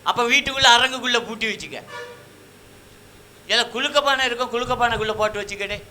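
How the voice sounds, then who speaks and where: A man's voice: very high voice, fast talker, bright, forceful tone, slight background noise, exaggerated voice. A man voicing a nosy, teasing village man in comic Tamil puppet banter, talking colloquially in rural dialect.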